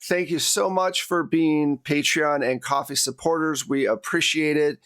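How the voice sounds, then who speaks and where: A man's voice: a little high, fairly even intonation, measured pace, fairly bright tone, clean tone, no room sound, studio quality. A man narrating a tabletop roleplaying game and hosting casually as game master. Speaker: game master and podcast host.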